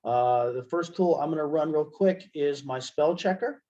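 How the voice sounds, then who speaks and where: A man giving a casual software demonstration as an instructor, conversational and thinking aloud as he goes. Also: fairly high pitch, normal speed. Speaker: instructor, webinar presenter. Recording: dull, band-limited webinar audio.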